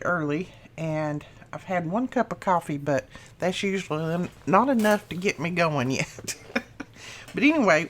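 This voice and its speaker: A middle-aged woman hosting a craft channel, chatting casually through a tutorial with a slightly rough morning voice, laughing at her own mistakes. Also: fairly low pitch, a bit bright, slight background noise.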